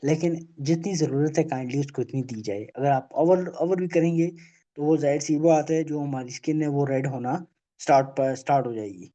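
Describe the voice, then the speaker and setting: A man giving an online health awareness lecture, halting and full of filler phrases, checking in with "okay?" after almost every point. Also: fairly high pitch, mellow. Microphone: video call. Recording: dull lo-fi video call audio.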